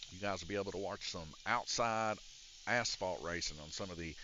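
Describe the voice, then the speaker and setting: Deep raspy man calling RC races. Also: moderate pace, pushed and bright, lo-fi sound, a little hiss or hum. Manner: rambling and explanatory, with many "uh" fillers. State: congested from a chest cold. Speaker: race announcer and organizer. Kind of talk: casual live-stream commentary. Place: indoor RC raceway shop. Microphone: wired or wireless handheld mic.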